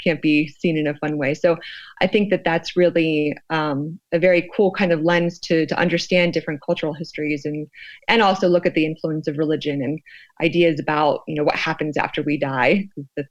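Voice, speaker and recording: A woman's voice: sits low, steady tone, brisk, no echo. Slightly dull, dry audio of an American woman. She speaks as a researcher being interviewed, thoughtful and conversational. She often halts and searches for the next word. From United States. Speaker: sociologist and researcher, podcast guest.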